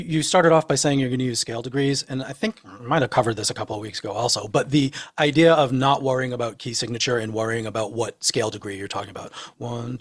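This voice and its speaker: A man with an average-pitched voice chats about music theory as a co-host. He is reflective and wordy, working his thoughts out aloud in a conversational way.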